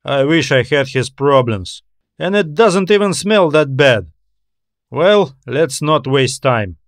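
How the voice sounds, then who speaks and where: A man's voice: a little high, lively intonation, slow pace, dry recording, clean studio sound. A man voicing a tired, anxious customs officer in an audio story, talking in a weary, griping way. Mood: griping, familiar.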